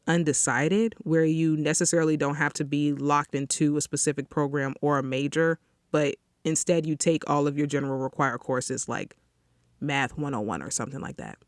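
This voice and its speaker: A woman's voice: deep voice, steady tone, normal speed, no room sound, clean studio sound. A young woman hosting her own podcast, chatting on her own from a small closet and often checking in with listeners with an "okay?". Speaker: podcast host. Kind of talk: casual solo podcast monologue. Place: small closet, outdoor sounds leaking in.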